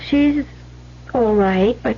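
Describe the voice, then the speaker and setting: Hesitant, earnest young nun. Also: female voice, fairly high pitch, breathy edge, full character voice. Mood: nervous, polite. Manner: hesitant, polite, halting. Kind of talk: old-time radio drama acting. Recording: muffled, noisy old radio recording.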